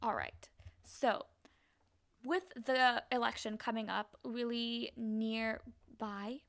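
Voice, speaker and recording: A woman's voice: on the high side, fairly even intonation, slow pace, fairly bright tone. A young woman student co-hosting a podcast, whose first language was Urdu, rambling casually and restarting her sentences. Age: young adult. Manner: rambling, restarts often, laughs easily. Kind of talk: casual chat.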